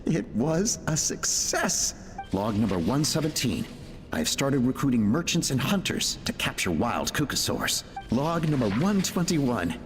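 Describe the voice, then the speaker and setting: A man's voice: medium pitch, big pitch swings. An actor playing an obsessive, ambitious male inventor, dictating research logs intensely in a video game, his voice in reverb. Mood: ambitious, triumphant. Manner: deliberate, intense, driven. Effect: long reverb on voice. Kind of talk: video game voice acting, dramatic log reading. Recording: reverberant game voice-over with music.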